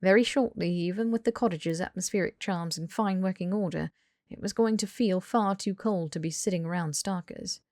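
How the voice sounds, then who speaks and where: A woman reading fiction as an audiobook narrator in an expressive, scripted storytelling style. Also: fairly low pitch, moderate pace, no echo, clean studio sound.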